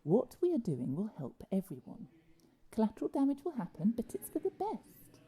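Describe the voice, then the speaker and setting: An actress playing a cold, domineering care home boss in an audio drama, husky and curt. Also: female voice, on the high side, wildly swooping pitch, moderate pace, mellow, heavily acted. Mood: cold, firm. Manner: curt, commanding. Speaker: actor.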